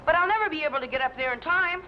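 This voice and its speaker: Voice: high voice, lively intonation, rapid-fire, heavy background noise. A young performer playing an eager, anxious boy assistant in an old sci-fi adventure drama. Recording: muffled old television soundtrack, loud music.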